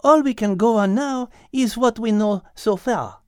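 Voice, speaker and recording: Man putting on a refined, high-voiced female French cat. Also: clean tone, no room sound, clean studio sound. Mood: composed, reasoning. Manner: precise, formal, measured wording. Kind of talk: audiobook character acting.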